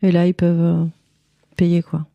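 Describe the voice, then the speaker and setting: A woman's voice: fairly low pitch, monotone, normal speed, gravelly, no echo, muffled recording. A woman from France, speaking candidly and informally as a guest in a casual interview. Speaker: podcast guest, cleaning lady and visual artist.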